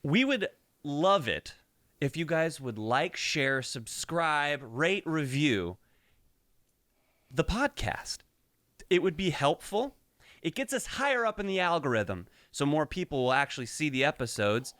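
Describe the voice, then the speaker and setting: A man's voice: fairly high pitch, sing-song, a little slow, fairly bright tone, dry recording, studio quality. A young man co-hosting a podcast in casual chat, cutting in with questions and jokes. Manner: interrupts with questions, joking. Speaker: podcast co-host.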